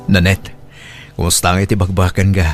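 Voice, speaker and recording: A man's voice: deep voice, monotone, fairly quick, faint music behind, close to an everyday voice. An actor playing an affectionate single father courting his first love in an Ilocano radio drama, tender and earnest, with an Ilocano accent.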